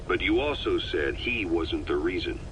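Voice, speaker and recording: A man's voice: low-pitched, animated, normal speed. An actor playing a male soldier who questions an old scientist in a plain, direct way, recorded on a game cassette tape. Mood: probing. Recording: faint tape hiss.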